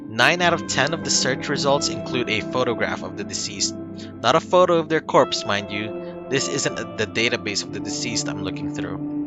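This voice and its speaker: A man streaming a game, reading its first-person narration aloud in a calm, unhurried way with small slips and restarts. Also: medium pitch. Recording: loud music behind the voice. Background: loud music.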